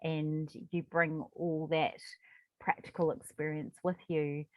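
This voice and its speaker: A New woman hosts a casual interview in a fairly low voice. The sound is dry and close, and her manner is effusive and full of encouragement. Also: little pitch movement, slow pace, dry recording, highs cut off.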